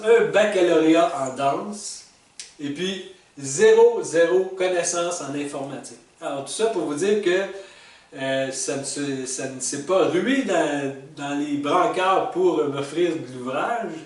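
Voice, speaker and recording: A man in his sixties with a Québécois French accent, thinking back over the stages of his life in a halting, casual way, full of fillers, restarts and small chuckles. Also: on the high side, distant mic. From Quebec. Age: 60s. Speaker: retired vlogger reflecting on life stages. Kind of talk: casual spoken reflection. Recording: echoey room, speaker well back from the mic, faint hiss.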